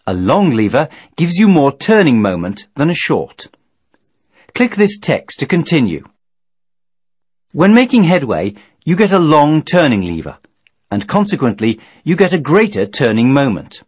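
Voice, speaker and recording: A man reading scripted instructional narration in a measured, formal, didactic manner. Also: a little high, very animated, husky, no room sound. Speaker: training video narrator.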